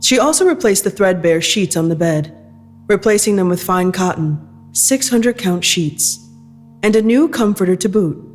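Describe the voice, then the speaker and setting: Measured female story narrator over music. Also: mid-range voice, animated, a little mellow. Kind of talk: scripted dramatic narration.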